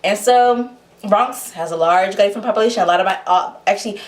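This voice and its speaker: A woman's voice: medium pitch, a little fast. A young woman with a New York accent, telling her life story to camera in a chatty way, full of fillers and restarts. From Honduras. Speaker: vlogger.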